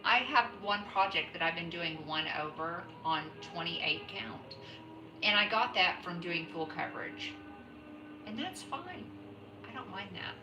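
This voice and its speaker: A middle-aged woman with a low voice rambles through a casual craft chat, breaking off and restarting her sentences. She sits back from the mic in an echoey room, with music playing behind her. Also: expressive, measured pace, far from the mic. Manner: rambling, chatty, self-interrupting. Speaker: craft livestream host. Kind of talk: casual chat while knitting. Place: home.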